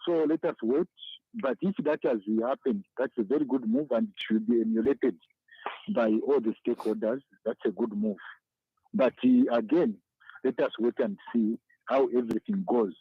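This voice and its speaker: Husky man on a phone-like line. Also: mid-range voice, normal speed, dry recording. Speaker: interviewed organisation leader giving comment. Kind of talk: spontaneous spoken comment. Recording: tinny, narrow phone-like line.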